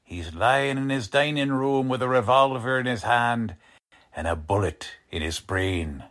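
A man's voice: medium pitch, expressive, a little slow, a bit bright. A man playing a cocky, folksy police lieutenant with slangy, ungrammatical talk in 1940s radio drama style. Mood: businesslike, grave. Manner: slangy, ungrammatical tough-guy speech.

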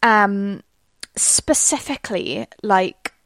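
A woman's voice: mid-range voice, drawn-out words, a bit bright, no echo. A young Welsh woman hosting a solo podcast, chatting in a rambling way full of fillers. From Wales. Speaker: podcast host. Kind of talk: casual solo podcast monologue.

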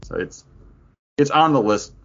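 A man's voice: medium pitch, sing-song, a little slow, creaky. A man chatting casually as a podcast co-host. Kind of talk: casual chat. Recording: dull lo-fi podcast audio.

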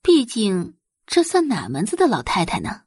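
A woman's voice: mid-range voice, huge pitch swings, normal speed, darkish tone, slightly rough, dry recording, clean studio sound. A woman narrating a scripted dramatic audiobook story.

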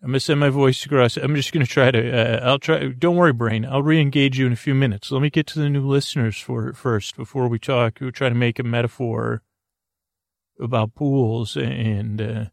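A man hosting a bedtime podcast, rambling softly off on tangents in lulling, creaky, dulcet tones. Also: mid-range voice, fairly even intonation, moderate pace, dry recording, studio quality. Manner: meandering, tangent-prone, self-interrupting, drowsy and soft. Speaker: podcast host.

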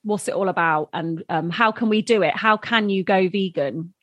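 A woman, co-hosting a casual podcast chat, chatty and quick to laugh. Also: mid-range voice, a bit bright, no echo, dull audio. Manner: chatty, laughs often, trails off with fillers. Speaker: podcast co-host.

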